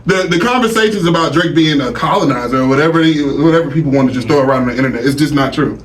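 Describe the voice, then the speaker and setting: A man's voice: on the high side, rapid-fire. A man debating casually and heatedly, arguing emphatically and repeating his phrases for weight. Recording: noisy, distant-mic room recording.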